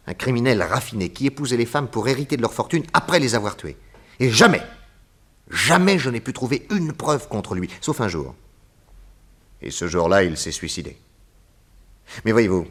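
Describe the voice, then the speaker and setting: Radio-drama detective, middle-aged, very low voice, measured, grave, shrewd. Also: male voice, fairly bright tone. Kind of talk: 1940s radio acting.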